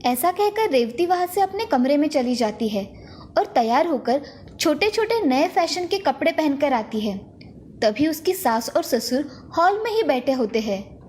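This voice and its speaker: A woman reading scripted narration for an animated story. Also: high voice, measured pace, a little hiss or hum.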